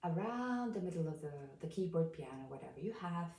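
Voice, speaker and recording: A woman with a low voice giving a casual piano lesson, explaining calmly while playing notes, recorded from a distance in a room with a piano. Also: a little fast, echoey room, very noisy. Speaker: piano teacher.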